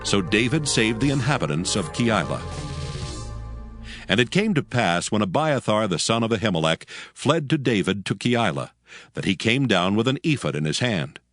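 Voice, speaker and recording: A man giving scripted narration of dramatized scripture in a solemn, measured storytelling manner. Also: on the low side, big pitch swings, a bit bright, dry recording. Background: faint background music.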